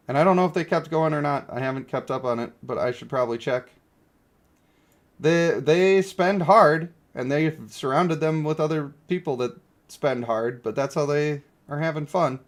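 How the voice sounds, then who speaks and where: A man giving casual, opinionated livestream commentary on a mobile game, blunt and given to exasperated ranting. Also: medium pitch. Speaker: game streamer.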